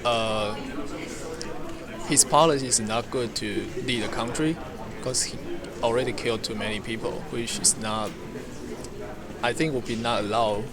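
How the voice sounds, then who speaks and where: A man's voice: mid-range voice, a little slow, pauses often. Hesitant man amid a crowd. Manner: hesitant, careful phrasing. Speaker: interviewee. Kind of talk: street interview opinion. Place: outdoors in a city. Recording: outdoors with a crowd behind.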